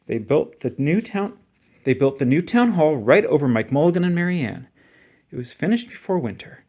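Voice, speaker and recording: A man reading a children's story aloud in an expressive, dramatic and emphatic way. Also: a little high, normal speed. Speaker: storybook reader.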